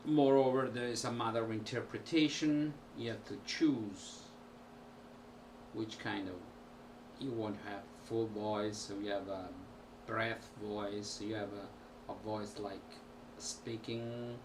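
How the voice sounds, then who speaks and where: Slow-talking man on a distant camera mic. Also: lowish voice, fairly even intonation. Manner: halting, slow, with many pauses and fillers. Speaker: musician vlogger. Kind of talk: casual talk to camera. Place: small room at home. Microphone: built-in camera mic. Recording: distant home camera audio with background noise.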